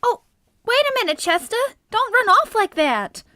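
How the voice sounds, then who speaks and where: A woman's voice: very high voice, sing-song, normal speed, bright, forceful tone, no room sound, clean studio sound, full character voice. A woman playing a coy, teasing young woman. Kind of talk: vintage radio play acting.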